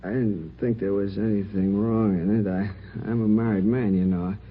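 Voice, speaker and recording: Radio crime drama victim, male actor: injured married man, very low voice, halting, sheepish, slangy, weak; noisy vintage recording. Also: fairly even intonation, phone-quality sound, lightly acted. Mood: shaken, defensive. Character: wounded married man, shot robbery victim, sheepish and plain-spoken. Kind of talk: 1950s radio drama acting.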